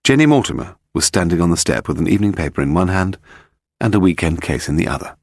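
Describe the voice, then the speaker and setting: A man's voice: sits low, expressive, fast talker, gravelly, dry recording, clean studio sound. A British man reading scripted story narration as an audiobook narrator.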